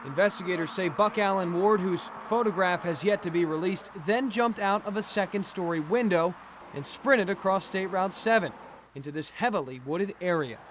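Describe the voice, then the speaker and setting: A male television reporter with an American accent reads scripted news narration. His voice sits noticeably high for a man. A faint layer of noise runs behind the recording. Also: moderate pace.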